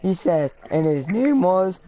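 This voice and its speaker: A woman's voice: on the low side, very animated, a little slow, mellow. A young woman streaming a zombie game, chatting with friends in a voice party and giving them orders. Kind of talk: casual gaming party chat. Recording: clear background noise with clicks.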